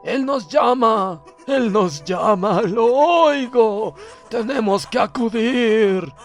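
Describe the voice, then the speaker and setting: A crazed, desperate submarine officer, acted by a male narrator, raving and pleading in loud, high, drawn-out cries over music. His delivery is frantic and theatrical, as in a dramatic audiobook.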